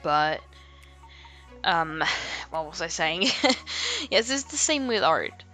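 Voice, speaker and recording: Young woman rambling about art, bright tense voice, music playing underneath. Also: lowish voice, big pitch swings, takes it slowly. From Sweden. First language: Swedish. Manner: rambling, frequent "like" fillers, self-laughing asides. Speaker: art vlogger. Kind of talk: casual talk to camera. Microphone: home microphone. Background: background music.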